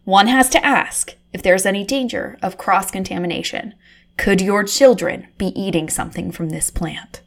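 A woman voicing a flustered, easily aroused undercover reporter, swinging from hushed murmurs to shrill cursing. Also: moderate pace, gravelly. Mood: mock-serious. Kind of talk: comedic erotic audio drama monologue.

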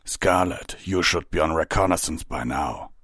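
A stern, patient stallion captain in armor, voiced by a man reading a storybook, with a very low voice. He speaks in a measured, authoritative yet gentle way, sounding close and dry. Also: breathy edge, dry recording, full character voice.

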